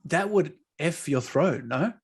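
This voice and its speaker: A man's voice: on the high side, animated, slow, vocal fry, slightly rough. A man hosting an interview over a video call, reacting briefly and asking short, informal questions. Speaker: interview host.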